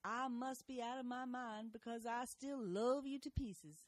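An actress playing a prim, old-fashioned older wife. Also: female voice, a little high, unhurried, no echo, natural voice. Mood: fond.

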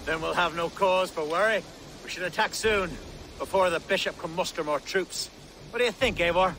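An actor playing an earnest, courteous Anglo-Saxon nobleman and war leader in a video game, with a formal, measured manner. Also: high voice, bright, forceful tone, a little hiss or hum, rough recording. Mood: eager, resolute. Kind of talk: video game cutscene acting.

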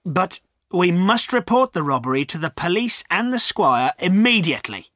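A man's voice: high voice, sing-song, moderate pace, bright, forceful tone, no echo, clean studio sound, pitched above the performer's own voice, heavily acted. A man voicing a blunt, scornful village farrier in a storybook, stating things dogmatically. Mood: practical. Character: scornful, matter-of-fact village farrier, male.

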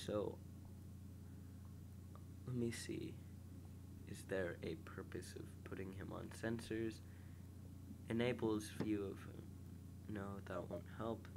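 Slow, low-voiced congested young man. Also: background noise. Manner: relaxed, mumbles quietly to himself while playing. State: sick and congested, slightly nasal. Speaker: gameplay host. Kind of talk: casual gameplay commentary, reading game text aloud.

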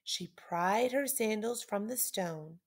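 A middle-aged woman reads a children's story aloud like a teacher, with deliberate, dramatic emphasis. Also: mid-range voice, vocal fry.

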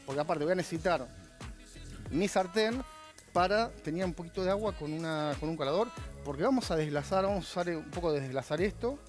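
A man with an Argentine Spanish accent, a chef walking through a recipe as he cooks, often restarting his sentences. His voice sits a little high for a man. Soft music plays in the studio behind him. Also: animated, fairly quick. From La Plata, Argentina. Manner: explains while cooking, hesitant restarts. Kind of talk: cooking demonstration chat. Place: TV studio kitchen.

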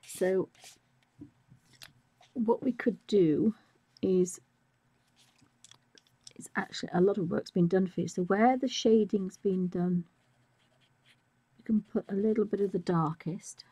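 A woman talks through her colouring step by step in a soft, musing way, her words often fading to a whisper. Her voice is mellow and husky, a little croaky from a chest infection. She sounds like an art tutor streaming a live lesson. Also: medium pitch, slow, long pauses, dry recording.

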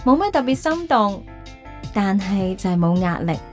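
A woman's voice: mid-range voice, sing-song, moderate pace, natural voice. An actress playing a reflective, wistful single career woman in a radio drama, with a Hong Kong Cantonese accent. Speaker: lead actress and narrator. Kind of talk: radio drama narration and dialogue. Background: background music.

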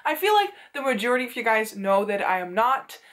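A woman's voice: on the high side, big pitch swings, brisk, fairly bright tone. A Dutch woman in her twenties, a native Dutch speaker with an American-style English accent, chatting casually to camera from her bedroom with lots of "like" fillers. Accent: Dutch-tinged American English. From Netherlands. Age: 20s. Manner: chatty, many "like" fillers, gestures a lot with hands. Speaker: booktuber host. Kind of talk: casual vlog chat. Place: small bedroom in front of bookshelves, indoors.

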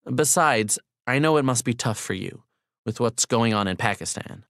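A man acts out a brisk male boss in an audiobook story. He is informal and commanding, supportive in a blunt way, with a close, dry sound. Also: mid-range voice, animated, vocal fry, a bit bright, no echo. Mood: blunt, encouraging.

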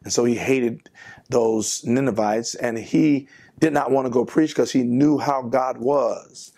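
An older male pastor delivers a devotional sermon from behind his desk, his voice gravelly with a husky edge. He preaches earnestly and with emphasis, posing questions to his listeners. The sound is close, from a lapel mic. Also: medium pitch, a little slow, warm tone. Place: pastor's office, seated at desk.

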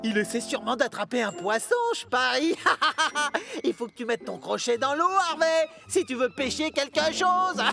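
A man's voice: very high voice, slow pace, vocal fry, over loud music, heavily acted. A man voicing a mischievous young male tank engine in a children's cartoon, teasing and giggly. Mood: mocking glee.

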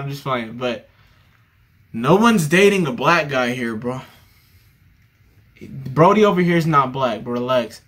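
A man's voice: fairly high pitch, fairly bright tone. A young man giving casual, joking reaction commentary over a video, often repeating himself. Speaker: reaction streamer.

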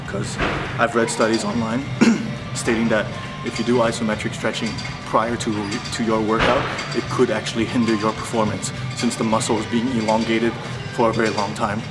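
Young man vlogging from a phone. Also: lowish voice. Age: young adult. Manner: relaxed, chatty, filler-heavy advice. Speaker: vlogger, university student. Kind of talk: casual vlog talk to camera. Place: restaurant, campus outdoors and gym. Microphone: handheld phone camera at arm's length.

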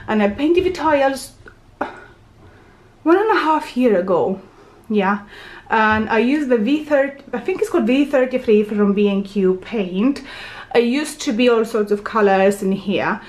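A woman's voice: on the high side, darkish tone. A woman in her thirties casually narrating a tour of her house, chatty and often correcting herself as she walks through the rooms. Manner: chatty, self-correcting, walks while filming. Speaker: vlogger giving a house tour. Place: bathroom and bedroom of a house, indoors. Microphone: handheld camera.

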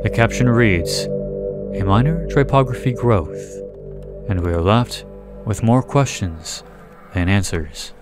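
A man reading scripted analysis narration in a measured, deliberate way with dramatic pauses. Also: on the low side, lively intonation, over loud music. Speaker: video essay narrator.

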